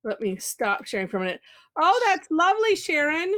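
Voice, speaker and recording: A woman's voice: sits high, big pitch swings, a little fast, fairly bright tone, no room sound. A woman hosting an online drawing class from home on a video call, chatty and a little scattered, with frequent exclamations. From Michigan, USA. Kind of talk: casual online class chat.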